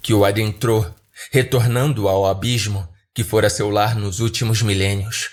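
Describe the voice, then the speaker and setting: A man's voice: fairly low pitch, fairly even intonation, normal speed. A man with a Brazilian accent giving dramatic scripted narration as a horror podcast narrator. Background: music.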